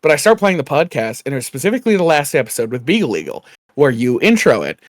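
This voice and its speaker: A man co-hosting a podcast in casual chat, quiet and rambling, with a soft, slightly raspy, gravelly voice. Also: mid-range voice, sing-song, fast talker, dry recording, clean studio sound. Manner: quiet, rambling, many fillers. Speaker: podcast co-host. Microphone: home studio microphone.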